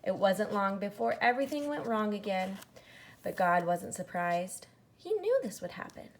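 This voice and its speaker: A woman reads a children's story aloud in an expressive, dramatic way with hushed emphasis. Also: medium pitch, normal speed, slight background noise. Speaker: storybook reader.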